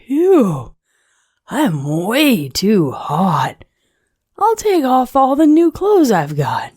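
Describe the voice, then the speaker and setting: A woman's voice: fairly high pitch, wildly swooping pitch, very slow, darkish tone, husky, no room sound, studio quality, pitched above the performer's own voice, full character voice. A woman voicing a lovable, cheerful, dramatic snowman who is forever cold, in a children's storybook read-aloud. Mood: overheated.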